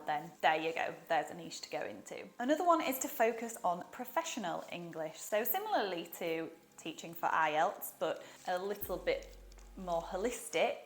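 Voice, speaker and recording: Chatty Yorkshire woman with a lowish voice. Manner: chatty and upbeat, with asides and jokes. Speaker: online English teaching coach. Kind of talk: casual advice vlog.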